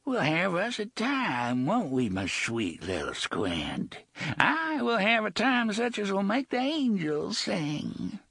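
Old leering pirate put on by a man, crooning and sly, high swooping pitch, husky tone. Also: wildly swooping pitch, slow, a bit bright, no echo, clean studio sound, exaggerated voice. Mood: menacing, crooning. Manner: crooning, wheedling, with old-fashioned slang.

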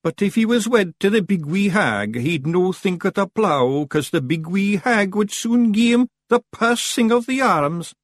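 A man's voice: high-pitched, lively intonation, unhurried, hardly any pauses, no room sound, studio quality, voice pushed up. A man voicing a dim, earnest, hapless little Feegle with a Scottish accent in an audiobook, blurting naively.

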